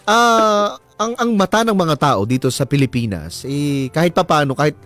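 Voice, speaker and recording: Animated, higher-pitched Filipino male radio host. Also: normal speed. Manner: probing, mixes in English phrases. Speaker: radio host interviewing a guest. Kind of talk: conversational radio interview. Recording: radio studio with music underneath. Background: background music.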